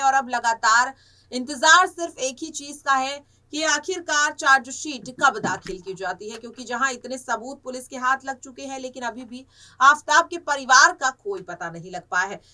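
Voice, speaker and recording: A woman's voice: sits high, pushed and bright, distant mic. A woman presenting a semi-scripted news commentary, with emphatic, repetitive phrasing.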